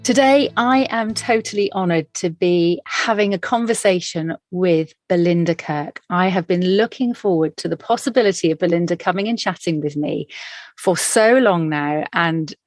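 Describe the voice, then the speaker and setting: A middle-aged British woman hosts a podcast in a rather low voice. She reads her introduction eagerly, and the recording sounds dry and close. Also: normal speed, dry recording. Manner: enthusiastic, warm laughter. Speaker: podcast host. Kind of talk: scripted podcast introduction then interview.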